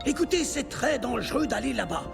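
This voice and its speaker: Gravelly cartoon villager, grave n bossy, pitch all over the place. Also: big pitch swings, brisk, music in the background, exaggerated voice. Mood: grave warning. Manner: grave, cautioning, commanding. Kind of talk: French animated series voice acting.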